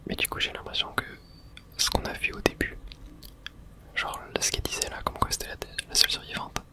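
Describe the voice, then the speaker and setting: Whispered ASMR gaming commentary, barely voiced. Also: brisk. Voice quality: breathy, unvoiced whisper. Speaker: gaming video host. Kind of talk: whispered ASMR video game playthrough.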